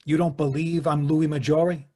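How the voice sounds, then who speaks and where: A man playing a curt, menacing mystery caller in 1940s radio crime drama, terse, guarded and husky, over a video call. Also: a little high, little pitch movement, moderate pace, darkish tone, close to an everyday voice. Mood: insistent. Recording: live video call performance.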